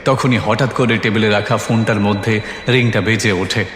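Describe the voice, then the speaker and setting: A man's voice: lowish voice, fairly even intonation, normal speed, a bit bright, slight background noise. A man reading a scripted horror story as its narrator. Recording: echoey, long hall-like reverb.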